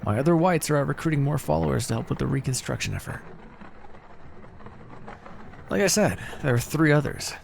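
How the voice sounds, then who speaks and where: A man playing a kindly, encouraging necromancer in an ASMR fantasy roleplay, speaking in a gentle, reassuring, conversational way. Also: on the high side, expressive, brisk, creaky, long pauses, slight background noise. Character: kindly, encouraging necromancer master, earnest and casual.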